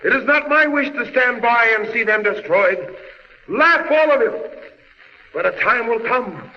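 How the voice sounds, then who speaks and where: A man's voice: extremely high pitch, moderate pace. A man playing an impassioned alien scientist and family man in an old-style radio drama, with a grave, oratorical delivery. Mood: impassioned, defiant. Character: impassioned alien scientist warning of doom, husband and father. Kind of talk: 1940s radio drama acting. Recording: muffled, hissy old radio recording, long reverb.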